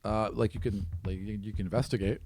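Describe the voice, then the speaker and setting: A man's voice: lowish voice, steady tone, quick pace. A man running a tabletop game as game master, narrating in a casual, improvised and conversational way. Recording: clearly noisy background with clicks.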